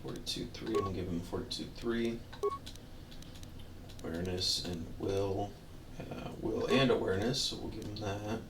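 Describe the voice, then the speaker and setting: A man with a hoarse, worn-out voice giving rambling, easygoing commentary as a game streamer. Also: lowish voice, steady tone, a little slow, noisy background. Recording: roomy, distant mic under loud music. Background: loud music.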